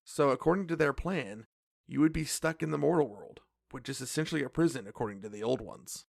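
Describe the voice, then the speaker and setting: A man acting a polite, earnest cafe owner in a fantasy audio drama, speaking in a measured way. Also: mid-range voice, gravelly, dry recording, clean studio sound. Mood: serious, explanatory. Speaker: voice actor in a fiction podcast.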